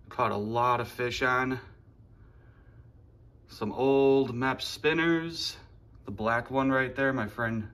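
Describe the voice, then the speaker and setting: A man, casually showing off his living room decor on a phone camera in a plainspoken, relaxed way. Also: medium pitch. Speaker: fishing channel host. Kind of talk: casual show-and-tell vlog. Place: living room at home, indoors. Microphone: handheld phone camera.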